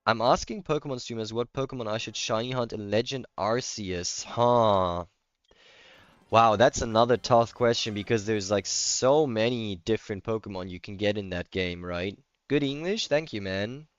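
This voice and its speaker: A man's voice: on the low side, normal speed, clear, resonant tone, no room sound, muffled recording. A man with a German accent, a native German speaker, chatting casually as he livestreams a game and answers viewer comments. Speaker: livestreamer playing a video game. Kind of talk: casual chat, replying to stream comments.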